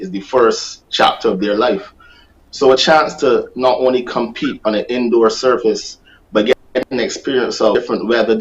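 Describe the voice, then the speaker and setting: A man who coaches athletics, answering interview questions in a measured way, repeating words for emphasis. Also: lowish voice, dull audio, a little hiss or hum.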